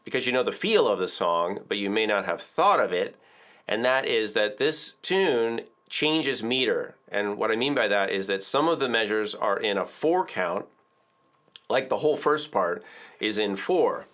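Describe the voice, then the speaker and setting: Middle-aged male music teacher, slightly low voice, casual explaining tone, studio video lesson. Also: expressive, unhurried, a bit bright. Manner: chatty, explains with filler words and counts aloud. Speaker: ukulele teacher. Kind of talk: relaxed video tutorial. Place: indoor studio with drums.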